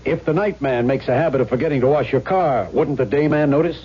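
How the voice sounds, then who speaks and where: A man's voice: mid-range voice, dark tone, slightly rough, natural voice. Man acting a dogged detective, dull radio sound. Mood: accusing, pressing. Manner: probing, deliberate, pressing questions. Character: dogged, shrewd male police detective interrogating suspects. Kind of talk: 1970s radio drama acting. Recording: dull vintage radio recording, faint hum.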